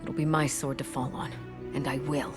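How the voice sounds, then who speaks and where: A woman's voice: very deep voice, little pitch movement, normal speed, loud music behind the voice. A woman playing a steadfast, dutiful warrior leader in a video game cutscene. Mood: resolute.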